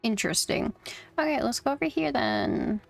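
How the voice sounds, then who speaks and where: A woman streaming a game, making brief, casual remarks in a fairly high voice. The sound is close and dry, with faint music behind her. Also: sing-song, moderate pace, vocal fry, no room sound. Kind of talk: casual gameplay reactions.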